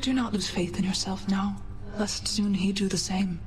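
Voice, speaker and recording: A woman voicing a calm, motherly night goddess in a video game, stately and formal. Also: medium pitch, natural voice. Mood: calm, reassuring. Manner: formal, measured. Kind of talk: video game character acting.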